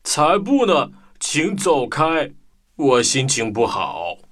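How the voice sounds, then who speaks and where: A man playing a big moody grizzly bear who grumbles slowly in a children's radio play. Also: mid-range voice, sing-song, fairly bright tone, faint music behind, exaggerated voice. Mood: gloomy, sulky. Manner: slow, grumbling, sighing. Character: gloomy, sulky, lonely big grizzly bear.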